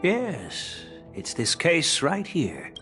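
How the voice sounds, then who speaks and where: An actor playing a shrewd old elder statesman in a video game, speaking in a measured, frank and formal way. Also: on the high side, huge pitch swings, sharp tone, soft background music, exaggerated voice.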